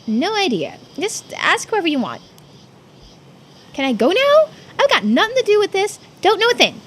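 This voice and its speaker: A woman's voice: extremely high pitch, huge pitch swings, normal speed, fairly bright tone, slight background noise, pitched above the performer's own voice, heavily acted. A woman voicing a jumpy, defiant townswoman in a mystery game, snappy and suspicious. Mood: curt, dismissive.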